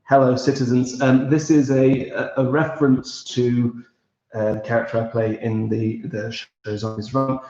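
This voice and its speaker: A man who grew up in Belgium hosts a casual online pub quiz, chatting in a rambling, friendly way as he reads out messages. His voice sits at a middling pitch and carries a noticeable room echo. The sound is a little dull and lo-fi. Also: flat delivery, normal speed, warm tone. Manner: chatty and meandering, friendly asides. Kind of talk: casual livestream chat, reading out messages. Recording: roomy, slightly dull audio.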